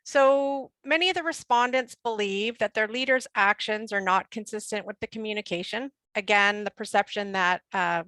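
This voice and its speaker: A woman's voice: mid-range voice, moderate pace, few pauses, bright, forceful tone, no echo, rough recording. A woman speaking as an HR panelist in a webinar, conversational with frequent fillers. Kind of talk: webinar discussion.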